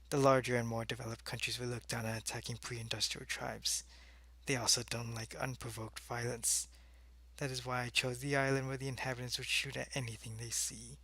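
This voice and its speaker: A man playing an alien agent in a sci-fi audio roleplay, explaining things earnestly in a measured, matter-of-fact way. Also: medium pitch, level tone, bright, forceful tone, no echo. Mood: earnest, cautious. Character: earnest alien agent briefing his superior on humans.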